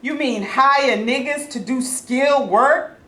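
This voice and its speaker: A woman's voice: high voice, slow pace, sharp tone, full character voice. A woman playing a worried, folksy male steel boss in a staged play reading. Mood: doubtful. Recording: echoey room, faint noise.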